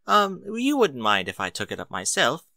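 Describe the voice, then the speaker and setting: A man's voice: mid-range voice, wildly swooping pitch, moderate pace, creaky, bright, forceful tone, no echo, studio quality, pitched above the performer's own voice, heavily acted. A man voicing a refined, polite lady with a fussy, genteel manner for a story reading. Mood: polite, gracious.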